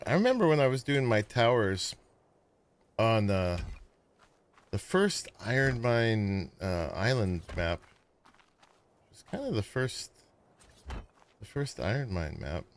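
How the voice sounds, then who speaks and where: A man casually chatting to viewers as he plays and builds in a survival game, with a sleepy voice and a relaxed, rambling manner. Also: medium pitch, slight background noise. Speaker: gaming streamer. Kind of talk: casual gameplay commentary.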